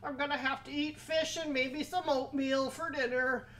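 A woman's voice: high-pitched, lively intonation, a little slow, gravelly, fairly bright tone, voice pushed up, exaggerated voice. A woman voicing a hungry, grumpy male troll who roars and later whines in self-pity, for a storybook puppet show. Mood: whining. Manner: roaring, then whiny and self-pitying.

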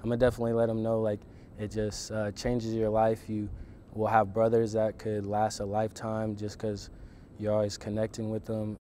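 A man's voice: fairly low pitch, completely flat delivery, moderate pace, a little hiss or hum. A twenty-year-old man, a college football player, talking reflectively in a documentary interview outdoors. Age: young adult. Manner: soft, reflective, casual filler words.

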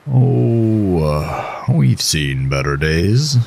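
A man's voice: sits low, big pitch swings, very slow, creaky, darkish tone, slightly rough, pitched above the performer's own voice, full character voice. A man voicing a weary, evasive, intense doctor with a musing manner in a horror story. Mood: weary, faintly cheerful.

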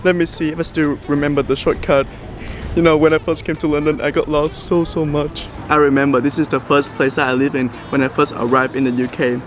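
A man in his twenties from Vietnam, chatting to camera in a casual vlog about his life. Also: mid-range voice. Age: 20s. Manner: chatty, animated storytelling to camera. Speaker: vlogger. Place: apartment room indoors, then city streets and a shop. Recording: background music under the voice.

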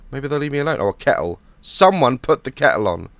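A hoarse young man on a headset mic, nervously joking and swearing through a horror game as a gaming YouTuber. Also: mid-range voice, sing-song, fast, fairly bright tone, no echo. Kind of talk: horror game let's play commentary.

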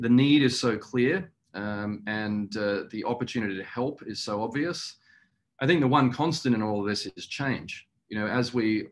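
A middle-aged man with a fairly low voice speaks on a video call as a company executive. He explains things earnestly and at a measured pace of thought, pausing often as he gathers his words. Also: a bit bright. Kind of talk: webinar interview answer. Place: small indoor room, video call.

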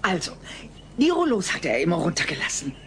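An actress playing a nosy, excitable neighbor who gossips conspiratorially in a dubbed sitcom audio play. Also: female voice, fairly low pitch, a little fast, noisy background.

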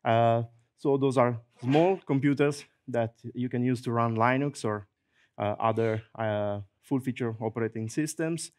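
A middle-aged man with an Italian accent presents a technical session into a handheld mic in a large conference hall. He talks in a lively, joking way, filling pauses with "uh". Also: mid-range voice, a little hiss or hum. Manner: lively, fillers like "uh", jokes and laughs. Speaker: conference speaker. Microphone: handheld microphone.

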